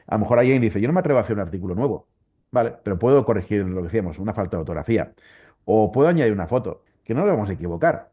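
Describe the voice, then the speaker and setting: Low-voiced Valencian man, dull recording. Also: expressive, rapid-fire, husky. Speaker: interview guest, volunteer Wikipedia editor. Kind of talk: conversational explanation in an interview.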